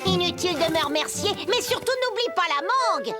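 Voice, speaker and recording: A woman's voice: very high-pitched, expressive, quick pace. A woman voicing a small, prickly, bargaining jungle animal, curt and cagey, in a French cartoon dub. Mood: bargaining, cautioning. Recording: music behind the voice.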